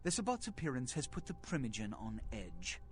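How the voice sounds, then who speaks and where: Aristocratic vampire prince, pompous, condescending, formal diction, animated pitch swings, game dialogue over music. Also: male voice, brisk. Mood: commanding. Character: imperious aristocratic male vampire prince, centuries old, condescending. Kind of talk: video game dialogue acting. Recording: game audio with background music.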